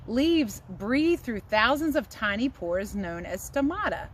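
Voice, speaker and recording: A woman teacher giving a chatty, expressive read-aloud lesson for young children, speaking outdoors. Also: a little high, a little hiss or hum. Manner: chatty, expressive asides and questions to listeners. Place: outdoors in a yard.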